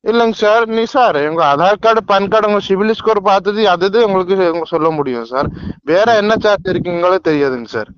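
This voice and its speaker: A man with a high voice speaks quickly as a loan agent on the phone. He keeps addressing the other person as sir. The recorded call sounds muffled and lo-fi. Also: smooth, no echo. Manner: repeatedly addresses listener as sir. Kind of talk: phone sales pitch turning argumentative.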